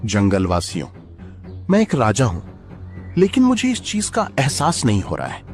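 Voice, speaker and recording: A man's voice: mid-range voice, wildly swooping pitch, normal speed, soft background music. A man voicing a selfish, pompous wolf king who makes demands in a cartoon fable. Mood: pompous, demanding. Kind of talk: animated children's fable acting.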